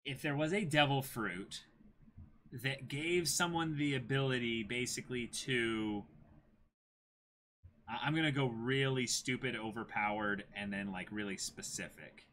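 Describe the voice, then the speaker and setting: A man's voice: mid-range voice, unhurried, fairly bright tone, a little hiss or hum. A man in his twenties chatting casually and animatedly as a co-host on an anime discussion stream, often laughing. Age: 20s. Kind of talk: casual chat.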